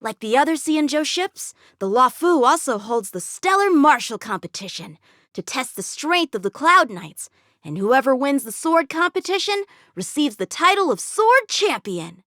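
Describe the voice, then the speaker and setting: A woman's voice: high voice, big pitch swings, moderate pace, a bit bright, no echo, clean studio sound. A woman voicing an eager, confident teenage boy swordsman in a video game, with an earnest, cocky, youthful manner. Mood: earnest, thoughtful. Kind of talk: video game character voice lines.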